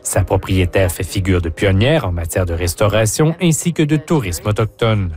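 Low-voiced male reporter over music. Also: lively intonation, moderate pace, a little mellow. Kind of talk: scripted news narration.

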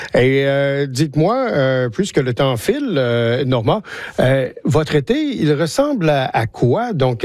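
A man's voice: medium pitch, darkish tone, no echo, clean studio sound. A man hosting a conversational interview, agreeable, with hesitant phrasing. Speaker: interview host.

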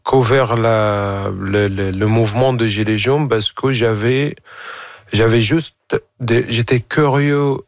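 A man's voice: medium pitch, fairly even intonation, slow, no echo, studio quality. A man in his late twenties from Syria, speaking with a Syrian Arabic accent as an interview guest and searching for words in a learned language. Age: late 20s. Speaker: photojournalist guest. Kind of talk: reflective interview answers.

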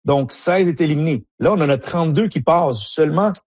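Husky soccer analyst, hesitant speech. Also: male voice, a little high, fairly quick, darkish tone. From Haiti. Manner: hesitant, many fillers and restarts. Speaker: soccer analyst and former player. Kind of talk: radio interview answers.

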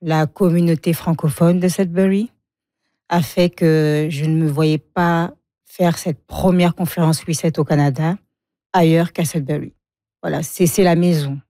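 Woman, low voice, warm tone, dry close sound, frank and reflective, laughs a lot. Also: no echo. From Congo. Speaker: guest. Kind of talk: emotional personal interview.

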